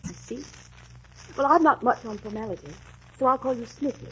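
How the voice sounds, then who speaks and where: A muffled vintage radio recording with slight hiss features a kind young dancer. Her voice is fairly high and swings expressively. She is brisk and coaxing, always reassuring. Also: animated, bright, forceful tone. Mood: gentle, reassuring. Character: kind, brisk young woman dancer befriending a lost soldier. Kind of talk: 1940s radio drama acting.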